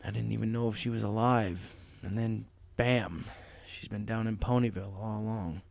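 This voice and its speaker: A man's voice: steady tone, gravelly, a little mellow, no room sound. A man voicing a weary, subdued older male pony boss and father who mutters, in a storybook reading. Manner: low, muttering, slow.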